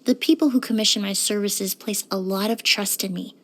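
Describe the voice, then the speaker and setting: A woman playing a courteous, composed young woman in a video game, speaking politely and earnestly with careful, measured wording. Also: medium pitch, steady tone, close to an everyday voice. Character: poised, courteous young woman perfumer and forensic cleaner. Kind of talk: scripted video game character voice lines.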